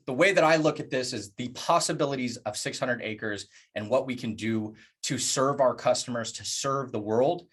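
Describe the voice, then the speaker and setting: A man presenting plans in a public address, speaking earnestly in deliberate phrases with emphatic pauses. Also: medium pitch, a bit bright, slightly rough. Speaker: organization leader presenting plans.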